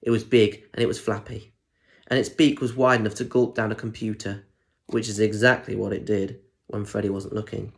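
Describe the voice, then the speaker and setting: A man's voice: on the low side, flat delivery, fairly quick, gravelly. A young man with a British accent reading a children's picture book expressively, chatting directly to listeners with asides. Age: young adult. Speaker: primary school story reader. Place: small indoor room at home. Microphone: phone or tablet at arm's length.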